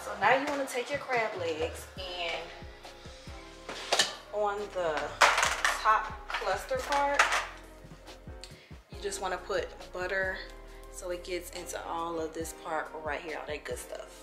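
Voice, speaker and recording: Chatty woman over loud background music. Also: fairly low pitch, slow pace, pauses often. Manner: relaxed, chatty instructions with fillers. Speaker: cooking vlogger. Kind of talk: casual cooking walkthrough. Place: home kitchen, indoors.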